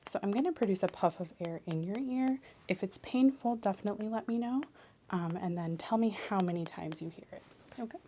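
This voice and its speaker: A woman soft-speaking an ASMR medical exam role-play as the examiner, explaining in a clinical way and often slipping into a whisper. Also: mid-range voice, a little fast.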